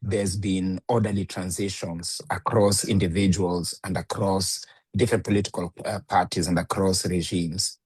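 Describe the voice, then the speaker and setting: Deep, husky man giving a formal statement. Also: level tone, a little mellow, no room sound. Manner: measured, formal, many filler pauses. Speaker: lawyers' union speaker. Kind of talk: formal press conference statement.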